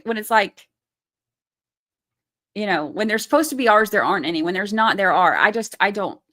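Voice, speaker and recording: A woman's voice: medium pitch, sharp tone. A woman hosting a casual livestream, reading viewer comments aloud and reacting to them in a chatty way.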